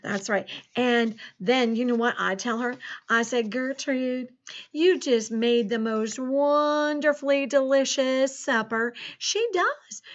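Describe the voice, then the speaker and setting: A woman voicing a folksy, easily sidetracked male farmer with a country drawl, talking playfully to children in a spelling lesson. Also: fairly high pitch. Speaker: school teacher leading spelling practice. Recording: dull, lo-fi recording.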